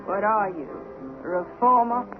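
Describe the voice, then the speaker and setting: A woman's voice: mid-range voice, measured pace, lightly acted. A tough, brassy saloon keeper of the Old West voiced by a woman, brash and challenging in manner. The sound is vintage radio drama, thin and narrow-band, with music behind her. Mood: astonished. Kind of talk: 1970s radio drama acting.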